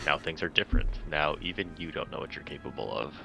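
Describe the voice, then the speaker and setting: A man's voice: rumbling low, fast talker, vocal fry, music in the background. A man taking part in a tabletop roleplaying game, talking casually and reading aloud to the group. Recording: noisy home microphone recording.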